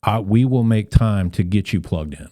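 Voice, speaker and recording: A man's voice: lowish voice, expressive, normal speed, dark tone. A man talking as a podcast guest, explaining things in an earnest, conversational way. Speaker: podcast guest, civic club member. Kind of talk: conversational interview.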